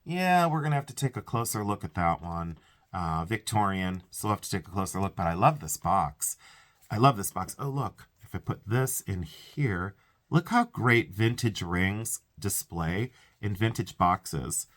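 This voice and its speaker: Man, stuffed-up nasal congestion, rambling casual chat, widely swinging pitch. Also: animated, measured pace, a bit bright. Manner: chatty, rambling, self-interrupting, frequent laughs. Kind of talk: casual haul video.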